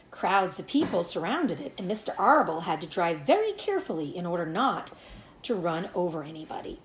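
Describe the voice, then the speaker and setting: A woman reading a children's storybook aloud as a teacher would, in expressive narration. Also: mid-range voice, roomy sound, a little hiss or hum, low-quality recording.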